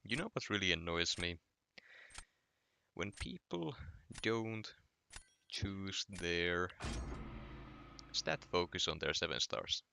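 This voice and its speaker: A man's voice: sits low, takes it slowly, gravelly, long pauses, slight background noise. A young man from Finland with a Finnish accent, chatting casually on a late-night gaming stream while answering his chat. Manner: relaxed, reading chat aloud and answering.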